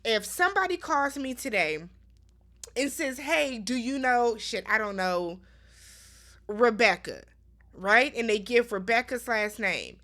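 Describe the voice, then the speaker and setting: A woman's voice: a little high, big pitch swings, measured pace, pauses often, a bit bright. A woman giving casual, chatty recap commentary as a host, emphatic and opinionated, often addressing her listeners directly.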